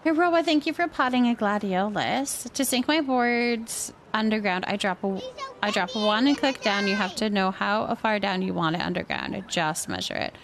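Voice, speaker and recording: A woman's voice: mid-range voice, normal speed, fairly bright tone, a little hiss or hum. A woman chatting casually as she hosts a game livestream, thinking aloud and reacting to viewers. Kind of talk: casual chat while playing a building game, reacting to viewers.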